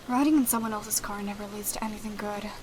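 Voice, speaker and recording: A woman playing a calm, emotionless, secretive young detective in an audio drama, speaking in a cool, curt, detached way. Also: mid-range voice, fast talker, noisy background, close to an everyday voice.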